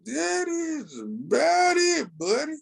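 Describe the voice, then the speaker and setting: A man with an American accent chatting casually as a podcast co-host, telling things in a slangy, animated, halting way. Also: extremely high pitch, vocal fry. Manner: slangy, animated storytelling with many filler pauses. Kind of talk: casual chat.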